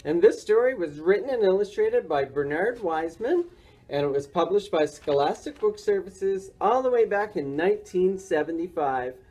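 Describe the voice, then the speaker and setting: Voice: mid-range voice, expressive, moderate pace, mellow. A reader narrating a children's storybook for a library story time.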